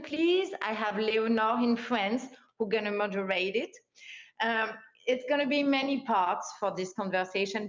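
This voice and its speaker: Slow-talking woman hosting an online forum. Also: medium pitch. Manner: enthusiastic, non-native phrasing. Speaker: host. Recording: roomy, dull lo-fi sound.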